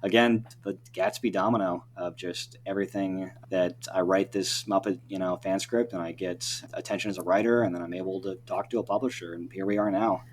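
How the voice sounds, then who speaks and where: A man's voice: sits low, fairly even intonation, brisk, few pauses. A man speaking as a writer guest in a casual podcast interview, in a rambling, conversational manner. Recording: faint hum behind the voice.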